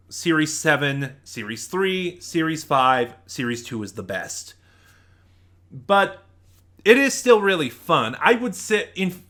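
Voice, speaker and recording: Bright, animated man reviewing to viewers. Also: mid-range voice. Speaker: YouTube audio drama reviewer. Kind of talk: unscripted opinionated review commentary to viewers.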